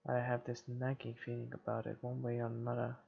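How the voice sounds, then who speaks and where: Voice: medium pitch, completely flat delivery, brisk, vocal fry, slightly rough. A person reading visual novel narration aloud for a game playthrough, with a muffled recording and game music behind. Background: game background music.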